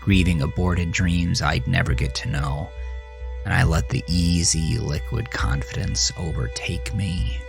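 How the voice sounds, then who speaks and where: An actor playing a jaded man who looks back on his angry teenage years in a brooding, confessional horror monologue with halting pauses. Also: deep voice, vocal fry. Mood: wistful, bitter. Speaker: narrator of a horror audio drama. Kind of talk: first-person scripted horror narration, dramatic monologue. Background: music.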